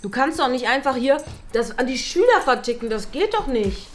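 A woman playing a disapproving female teacher in a comedy sketch, scolding a colleague in a bright staff room. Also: sits high, big pitch swings, normal speed. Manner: scolding, skeptical.

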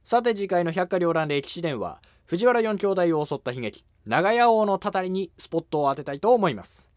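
A man's voice: sits high, expressive, normal speed, no echo, studio quality. A man narrating history from a script as the narrator of a drama series.

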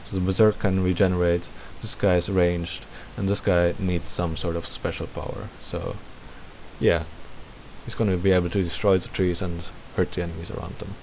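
A man's voice: sits low, little pitch movement, moderate pace, vocal fry, darkish tone, low-quality recording. A man hosting a game tutorial, thinking aloud in a casual commentary as he works. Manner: thinking aloud while working. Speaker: tutorial host. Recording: faint background hiss.

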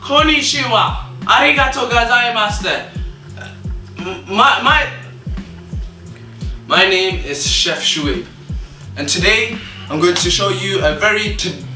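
A man's voice: high voice, animated, takes it slowly, pushed and bright, distant mic. Young male vlogger, mock chef, stumbling and bossy; echoey kitchen, off-mic, music behind. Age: young adult. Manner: stumbling, playful, bossy with the cameraman. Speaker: vlogger playing a cooking show host. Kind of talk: joking mock cooking show. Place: small home kitchen, indoors. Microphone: camera mic on a handheld camera.

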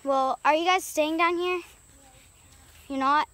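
A woman's voice: high-pitched, measured pace, a bit bright. A female vlogger chatting casually outdoors by a small creek into a handheld camera, rambling with lots of fillers. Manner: rambling, filler-heavy, upbeat. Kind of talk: casual vlog chat.

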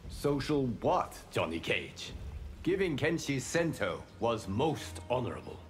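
An actor playing a wise, grave guardian god of martial arts who speaks formally in a fighting video game. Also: male voice, mid-range voice, very animated, a little slow. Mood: solemn. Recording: game music behind.

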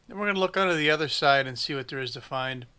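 A man's voice: a little high, racing, bright, forceful tone, clean tone. A man in his forties narrating a chainsaw repair at his workshop bench, plain-spoken with dry humor. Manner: plain-spoken, dry humor, thinks aloud while working. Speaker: small engine repair shop mechanic.

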